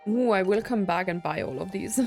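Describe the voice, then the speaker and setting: A woman's voice: medium pitch, normal speed, a little mellow. A woman streaming a video game with casual commentary, thinking aloud and reading on-screen text out. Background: video game music and dialogue.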